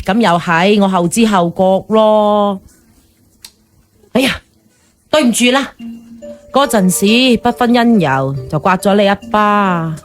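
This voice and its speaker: Woman acting gossipy pushy sister-in-law in a radio drama, very emphatic, music clearly behind her. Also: medium pitch, close to an everyday voice. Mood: apologetic, gushing. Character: chatty, forthright sister-in-law, effusive and pushy.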